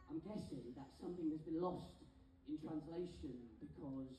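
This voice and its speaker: A man with a London accent does cheeky stage banter as a show compere. His voice sounds mellow. It is recorded from out in the audience, so the theater echoes heavily around him. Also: fairly high pitch, moderate pace, distant mic, slight background noise. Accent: London English. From England. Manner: cheeky, joking showman. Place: ship theater.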